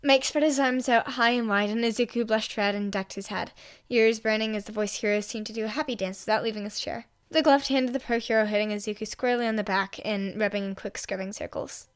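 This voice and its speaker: A woman narrating fan fiction as an amateur audiobook reader, in an expressive storytelling style. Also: fairly high pitch, fast talker, smooth.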